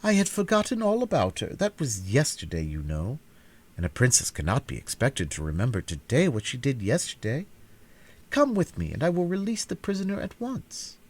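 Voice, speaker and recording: A man's voice: huge pitch swings, normal speed, slightly rough, full character voice. A man voicing a vain, haughty princess who flies into fury, in a storybook reading. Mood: eager. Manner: shrill, imperious outbursts.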